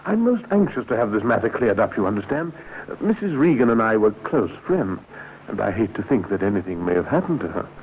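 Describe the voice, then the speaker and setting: An actor playing a polite, obliging gentleman chemist in a 1950s radio crime drama. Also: lowish voice, sing-song, brisk. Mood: obliging, smooth. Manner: polite, plausible, genteel. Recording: tinny, noisy 1950s radio recording.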